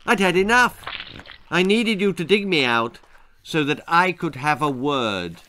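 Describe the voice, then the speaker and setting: A man voicing a gruff, aggrieved green bogey monster with a growling, slurping voice in a children's comic horror story. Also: a little high, animated, unhurried, a little hiss or hum. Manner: indignant, loud, menacing.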